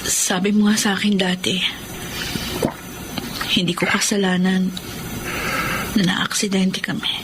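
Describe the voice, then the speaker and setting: Actress as a caring young aunt, loud ambience. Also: female voice, medium pitch, little pitch movement, measured pace, pauses often, background noise, natural voice. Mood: consoling. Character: caring, earnest young aunt raising her sister's baby. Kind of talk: Filipino radio drama acting. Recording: radio drama with loud background ambience.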